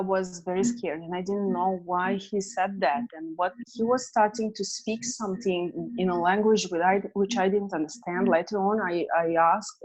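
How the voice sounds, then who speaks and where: A woman with a Serbian accent, a guest telling her personal story in an interview, earnest and halting, with restarts. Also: fairly low pitch, a little mellow, poor audio.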